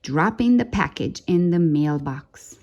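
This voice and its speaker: A woman narrates a children's picture book with theatrical suspense. Her pitch moves around in a very animated way, and she takes her time, stretching key words for effect. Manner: dramatic, suspenseful delivery with drawn-out key words. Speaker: storyteller reading a children's picture book aloud. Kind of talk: expressive read-aloud storytime narration.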